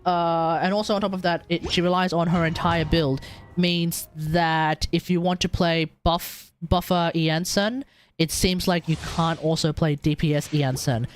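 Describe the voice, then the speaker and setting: A man's voice: fairly high pitch, fairly even intonation, unhurried, slight background noise. A man doing casual livestream game commentary, rambling and thinking aloud over game music. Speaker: game streamer. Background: video game music.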